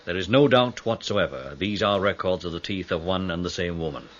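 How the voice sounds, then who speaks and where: An actor playing a precise, formal dental pathologist, speaking with measured emphasis in a radio drama. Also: male voice, sits low, a bit bright. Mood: formal, certain. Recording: dull radio recording, faint background noise.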